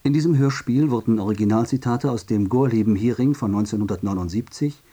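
A man with a dark, husky voice delivering scripted speech for a radio drama. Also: mid-range voice, fast.

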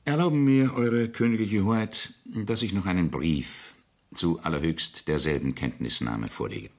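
An actor playing a deferential, formal state official advising royalty in a German radio play, husky and insinuating. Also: male voice, low-pitched, moderate pace.

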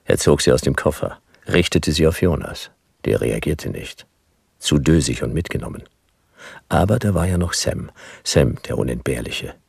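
Noir radio detective: bottomless raspy bass, world-weary, dry, clipped delivery with lively inflection. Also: male voice, moderate pace, no room sound, exaggerated voice. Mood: wry narration. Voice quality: gravelly. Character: world-weary hard-boiled private detective, laconic and wry. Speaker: detective narrator. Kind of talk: noir radio drama narration and dialogue.

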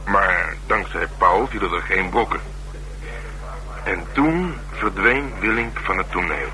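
An actor playing a gruff, streetwise police detective in a Dutch radio drama, talking in a dry, wry and slangy way. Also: male voice, low voice, expressive, slow pace, bright, forceful tone, exaggerated voice. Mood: dry, confiding. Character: male police detective, gruff, streetwise, worldly, asking a favour. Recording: noisy radio drama recording.

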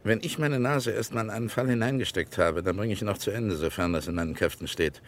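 Actor as a low-voiced, stubborn private investigator. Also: male voice, quick pace, faint music behind, lightly acted. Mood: firm, matter-of-fact. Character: probing, stubborn, self-assured male private investigator. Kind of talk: German radio crime drama acting.

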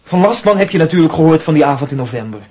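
An actor playing a defensive, hot-tempered young student in a radio drama, with a Netherlands Dutch accent and a husky voice. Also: male voice, fairly high pitch, expressive, very fast, a crowd in the background, lightly acted. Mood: defensive, sarcastic. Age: young adult.

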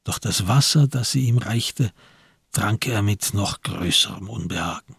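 A man narrating a literary crime story for a radio play in a husky voice, measured and grave. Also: lowish voice, no echo, studio quality, heavily acted. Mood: sober, hushed. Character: sober male storyteller of a crime tale.

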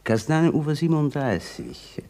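A man's voice: on the high side, very animated, normal speed, warm tone. A man acting in a radio play as a courteous old beggar, speaking with ceremonious, fussy politeness. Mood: fussy, hesitant. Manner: ceremonious, repetitive formal politeness.

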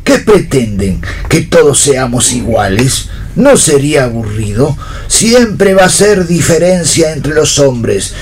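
A man plays a pompous bourgeois father in radio theatre, indignant and oratorical. His voice sits fairly high, with faint noise behind it. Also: big pitch swings, slow, full character voice. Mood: pompous, scornful. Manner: oratorical, emphatic.

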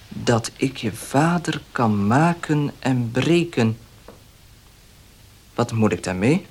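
An actor in a Dutch radio play as a wary baker, speaking directly and guardedly. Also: male voice, medium pitch. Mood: pondering, troubled. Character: wary, blunt baker defending his honest father.

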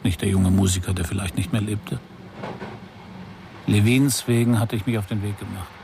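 An actor playing a brooding, weary investigator who narrates a radio crime drama in a terse, measured, pensive way. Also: male voice, on the low side, fairly even intonation, gravelly, background noise. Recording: music behind the voice.

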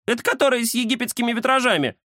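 A man voicing a chatty, mischievous young cat with an excitable, teasing manner in a children's story. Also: very high-pitched, racing, dry recording, studio quality, pitched above the performer's own voice, full character voice. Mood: excited, cheeky. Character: cheeky chatty round-faced young male cat, mischievous.

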